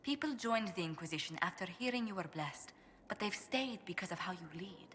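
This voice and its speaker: An actress playing a poised, gracious diplomat in a video game, speaking in a polished, courteous, elegant way. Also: female voice, mid-range voice, animated, a little fast, fairly bright tone, long reverb tail, a little hiss or hum, close to an everyday voice. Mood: affectionate.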